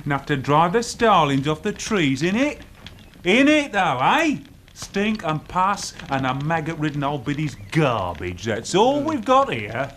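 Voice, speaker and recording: A man's voice: fairly high pitch, sing-song, measured pace, fairly bright tone. A male actor with a British accent playing a sneering, bullying lout who taunts in slangy tag questions in a radio drama. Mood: contemptuous, jeering. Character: sneering, bullying, hard-drinking male lout, cruelly mocking. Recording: noisy old radio drama recording.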